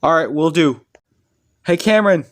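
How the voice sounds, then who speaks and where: A man's voice: fairly high pitch, expressive, moderate pace, lightly acted. A man acting a casual young guy breaking doomsday news in an amateur audio drama. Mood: urgent, casual.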